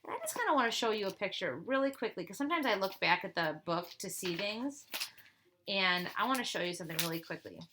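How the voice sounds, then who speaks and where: A woman reading a children's storybook aloud in an expressive style, with chatty asides to her young listeners. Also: medium pitch, fast talker, background noise. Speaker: teacher reading aloud to pupils.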